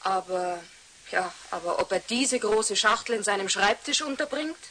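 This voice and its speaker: A woman's voice: medium pitch, animated, normal speed, bright, forceful tone, poor audio. A woman playing a picky, hesitant shop customer in a radio play. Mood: fussy, undecided. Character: fussy, indecisive married female shop customer. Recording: faint hiss of 1970s radio recording.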